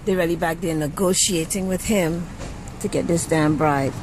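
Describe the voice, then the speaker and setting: A woman's voice: on the low side, normal speed. Blunt woman venting, noisy car. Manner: blunt, exasperated, salty-tongued. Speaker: vlogger. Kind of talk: casual first-person rant to camera. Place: inside a parked car by a busy street. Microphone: handheld camera. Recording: noisy car interior with street traffic.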